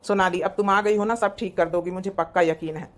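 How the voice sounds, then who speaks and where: Voice actor as young cartoon village man, high for a male, Awadhi accent, earnest, caring, protective. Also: fairly even intonation, fairly quick, a bit bright, lightly acted.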